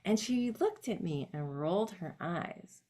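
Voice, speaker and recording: Woman, solo monologue performer, low-pitched, reflective and direct. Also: animated, a little slow, dark tone. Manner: reflective, addressing her late grandfather directly.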